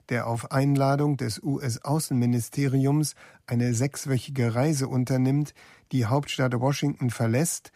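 Male announcer for scripted literary radio introductions, medium pitch, close and dry studio sound. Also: a little mellow, dry recording. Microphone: close studio microphone.

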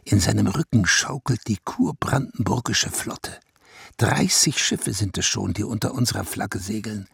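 An actor playing a haughty, world-weary ruler in a German radio play, pondering loftily in clipped phrases with a husky, gravelly voice. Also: male voice, on the low side, normal speed, dry recording, studio quality, exaggerated voice. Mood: lofty, deliberate. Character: haughty, world-weary male Prussian ruler, speaking as royal we.

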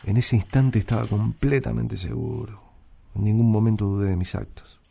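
A low-pitched troubled Argentine factory worker in a radio play, brooding and slangy in a Rioplatense accent. He tells his story like a man who swears easily, with music playing under his voice. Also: moderate pace, gravelly. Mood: brooding, reflective. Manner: slangy, reflective. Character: troubled working-class male factory worker, brooding and foul-mouthed. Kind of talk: radio drama acting and first-person narration.

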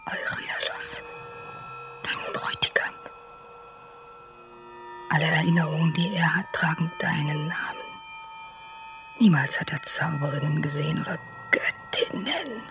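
An actress playing the sceptical, blunt and disillusioned confidante of a princess in a radio play. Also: female voice, low voice, huge pitch swings, measured pace, long pauses, over music, full character voice. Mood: admonishing. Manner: whispery, pointed, disillusioned. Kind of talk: German radio play acting.

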